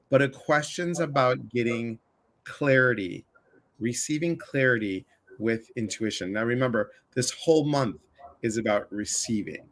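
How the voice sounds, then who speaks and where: A man's voice: medium pitch, bright, forceful tone. A man from the Chicago area hosting a casual livestream conversation, chatty and full of asides. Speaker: livestream host.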